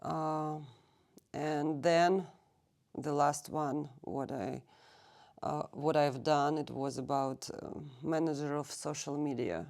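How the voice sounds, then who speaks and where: Slow, low-voiced woman from Russia. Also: fairly even intonation, darkish tone. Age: 30s. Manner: halting, searching for English words. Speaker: interviewee. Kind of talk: recorded oral history interview. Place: public library.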